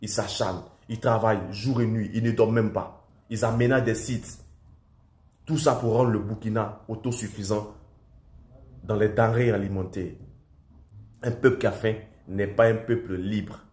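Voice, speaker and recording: A man's voice: fairly low pitch, steady tone, normal speed, darkish tone. Man, Ivorian French accent, stuffy from a cold, indignant commentary, repetitive and emphatic, echoey room. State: has a cold. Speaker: political commentator. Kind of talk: impassioned video commentary. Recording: roomy echo.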